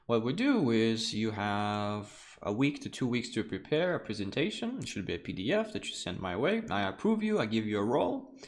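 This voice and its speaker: A young man with Russian as his first language talks through an art lesson live. His pitch swings widely, and he keeps it loose and chatty with frequent laughs into a desk mic. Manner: animated, chatty, laughs often, loose wording. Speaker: art lecturer streaming a class. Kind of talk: casual livestream lecture.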